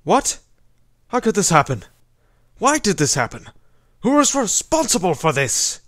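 A man playing an excitable, easily alarmed manor gentleman in an audio drama. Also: medium pitch, a little slow, dry recording, clean studio sound. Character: excitable, alarmed male member of a manor household. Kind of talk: scripted audio drama acting.

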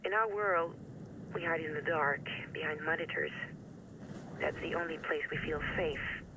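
An actor playing a grieving, remorseful hacker in a game, speaking in a halting, earnest confession. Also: male voice, on the high side, noisy background, close to an everyday voice. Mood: sorrowful, apologetic. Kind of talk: dramatic game cutscene monologue.

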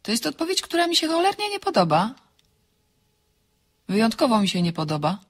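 A woman's voice: on the high side, huge pitch swings, brisk, husky, heavily acted. An actress playing an impulsive, inquisitive, chatty woman in an audio drama, with a theatrical manner. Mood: annoyed. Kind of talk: audiobook radio drama acting.

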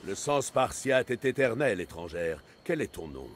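An actor playing a proud, hot-headed Spartan commander in a video game, with a blunt, impatient martial manner. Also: male voice, on the low side, big pitch swings, moderate pace, long reverb tail, a little hiss or hum. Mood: proud, guarded. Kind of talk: video game voice acting in French. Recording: game dialogue over loud music.

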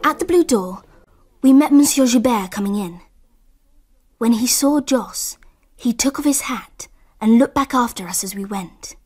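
An actress narrating a radio drama as a wistful English girl recalling a summer, in hushed, lyrical storytelling. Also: a little high, expressive, a little slow.

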